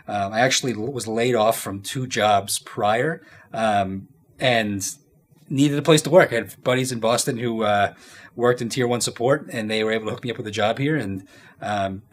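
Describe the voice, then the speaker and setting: A man in his thirties giving casual, informal interview answers as a company executive, often halting as he thinks aloud. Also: medium pitch. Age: 30s.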